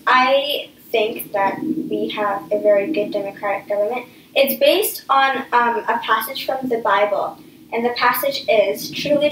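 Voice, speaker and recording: A woman speaks as a guest in a staged interview, explaining things in full answers. Her voice is a little high. It is captured from some distance, with clear room echo around it. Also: slight background noise. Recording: echoey room, distant microphone.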